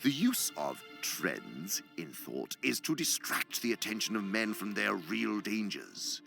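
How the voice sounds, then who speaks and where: Scheming senior demon, low voice, wide theatrical swings, pompous lecturer, radio drama, music underneath. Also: male voice, sing-song, measured pace, creaky, fairly bright tone, heavily acted. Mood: gloating lecture. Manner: lecturing, eloquent, theatrical, disdainful. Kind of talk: audio drama acting.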